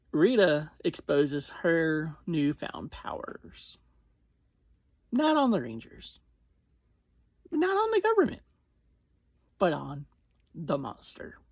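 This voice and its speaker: An online reviewer giving a casual episode recap in short, halting phrases with pauses between them. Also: medium pitch, a little mellow, dry recording.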